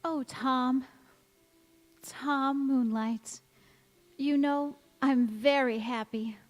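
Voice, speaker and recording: A woman's voice: high voice, fairly even intonation, extremely slow. A woman acting a sweet, sentimental young bride-to-be with earnest, theatrical delivery in an old-time radio drama. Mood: happy, tender. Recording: echoey church hall stage recording.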